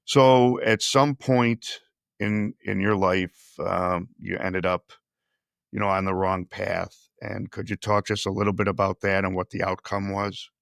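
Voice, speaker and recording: Man, podcast host, very deep voice, gravelly, measured interview talk. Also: steady tone, no echo, studio quality. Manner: measured, with frequent restarts and fillers. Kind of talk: conversational interview.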